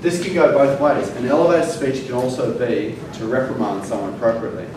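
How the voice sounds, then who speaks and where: A man's voice: mid-range voice, brisk. A male fitness educator giving a classroom lecture, emphatic and punching key words, with frequent fillers. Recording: very echoey room from far off, noisy.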